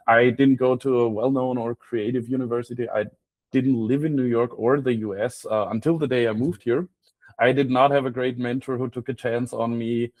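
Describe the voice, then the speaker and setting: A man's voice: mid-range voice, a little mellow, no room sound. Wry Austrian man presenting informally. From Graz, Austria. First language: German. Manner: self-deprecating, wry joking. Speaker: guest speaker, freelance copywriter.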